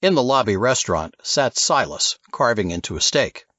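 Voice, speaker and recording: A man's voice: medium pitch, sing-song, moderate pace, fairly bright tone, no echo, dull audio. A man reading scripted fiction as an audiobook narrator.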